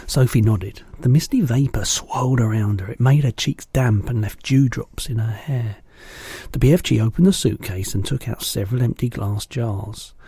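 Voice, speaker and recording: Man reading a kids book aloud, gravelly low voice, steady, dry close mic. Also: moderate pace, dry recording. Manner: steady storybook narration, low-key. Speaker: teacher.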